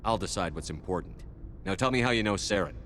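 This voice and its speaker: An actor playing a composed, authoritative starship commander in a video game. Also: male voice, fairly low pitch, lively intonation, fast talker, fairly bright tone, slight background noise. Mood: stern. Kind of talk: video game character dialogue.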